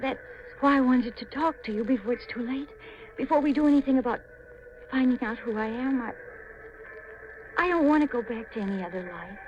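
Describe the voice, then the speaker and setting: A woman's voice: a little high, brisk, long pauses, slight background noise, close to an everyday voice. An American woman playing an anxious young aspiring actress and reluctant accomplice in a 1950s radio mystery, earnest, hesitant and dramatic. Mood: earnest, pleading. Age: young adult. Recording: muffled, lo-fi 1950s radio recording.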